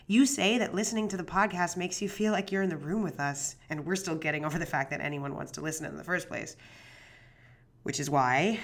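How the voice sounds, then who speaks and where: A woman plays a young podcaster who talks herself in circles, chatty and self-doubting, swearing as she rambles. Her voice sits a little low for a woman. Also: lightly acted. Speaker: true crime podcast host. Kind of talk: audio drama monologue.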